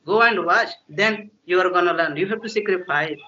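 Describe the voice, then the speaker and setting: A man's voice: sits high, fairly quick, bright, forceful tone, lo-fi sound, a little hiss or hum. A man with an Indian English accent, a native Hindi speaker, practicing English in casual conversation, hesitant with many fillers and frequent laughs. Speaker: English learner, conversation partner.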